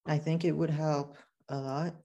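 Low, hesitant woman interviewer. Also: little pitch movement, measured pace, dark tone, lo-fi sound. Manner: hesitant, with many fillers and restarts. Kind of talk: conversational interview.

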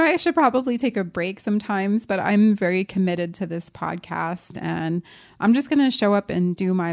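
A woman's voice: medium pitch, gravelly, a little mellow. A woman hosting a podcast, closing the episode in an upbeat, chatty and encouraging way. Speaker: podcast host. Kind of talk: casual podcast outro.

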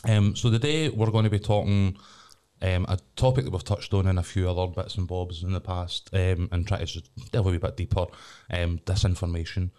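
A man's voice: low voice, steady tone, brisk, mellow, clean tone. A Scottish man hosting a podcast, chatting loosely with lots of ums and restarts. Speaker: podcast host. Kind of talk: casual chat.

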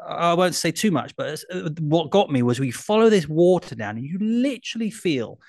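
A man on a casual podcast chat, animated and enthusiastic, telling a story with the odd self-deprecating laugh. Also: fairly high pitch, normal speed, gravelly. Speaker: podcast co-host. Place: traveling abroad, remote call.

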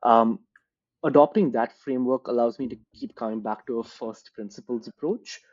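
A man from India, a career coach, giving thoughtful, measured interview answers built around examples. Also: mid-range voice, a little mellow, lo-fi sound.

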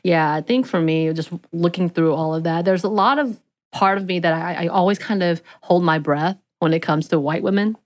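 A woman's voice: on the low side, normal speed. A woman co-hosting a book podcast, speaking candidly and enthusiastically in a casual chat. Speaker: podcast co-host.

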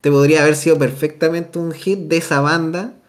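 A man's voice: on the high side, steady tone, fairly quick. A young man with a Chilean Spanish accent chatting casually about music as a podcast co-host, enthusiastic and full of slang and fillers.

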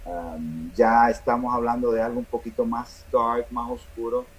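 A man's voice: mid-range voice, fairly even intonation, normal speed, low-quality recording. A Dominican man with a Dominican Spanish accent, a band singer talking casually in an interview, rambling with many restarts and fillers. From Dominican Republic.

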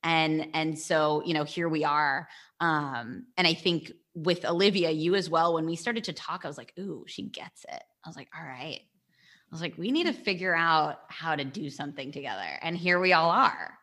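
A woman's voice: sits low, normal speed, fairly bright tone. A young woman, a nutrition coach, chatting casually as a podcast guest and rambling with lots of "like" fillers. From United States.

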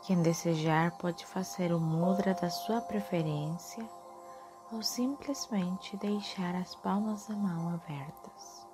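A woman leading a guided meditation in a soft, soothing, often breathy manner with drawn-out phrases. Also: on the low side. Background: soft music.

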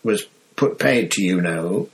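A man voicing an excitable, gossipy young bus conductor who chatters in slang. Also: low voice, unhurried.

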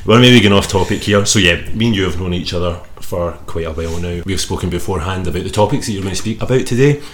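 A man's voice: low voice, fast talker, a little hiss or hum. A man hosting a podcast, chatting casually and teasing his guest in friendly banter. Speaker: podcast host. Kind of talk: casual interview chat.

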